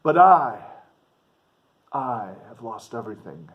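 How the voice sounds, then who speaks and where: A man voicing a despairing but noble stranger from a classic novel, speaking solemnly and deliberately. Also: medium pitch, lively intonation, narrow, tinny audio. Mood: mournful, earnest. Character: grief-worn, earnest male stranger, despairing yet noble.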